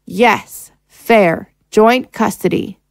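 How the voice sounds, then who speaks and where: A woman playing a composed, firm wife and mother in an audio drama, speaking in a measured, reasoning way. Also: mid-range voice, gravelly, no room sound, studio quality. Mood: insistent. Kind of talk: screenplay-style audio drama acting.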